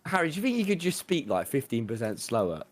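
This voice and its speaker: A man's voice: medium pitch, big pitch swings, fast talker. A young man streamer bantering and teasing in a casual gaming chat.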